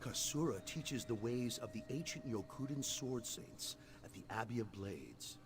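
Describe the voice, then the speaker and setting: A man's voice: medium pitch, animated, a little slow, close to an everyday voice. A man playing an honorable, earnest sword apprentice in a fantasy video game, with formal, solemn wording. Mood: earnest, urgent. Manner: formal, solemn herald-like delivery.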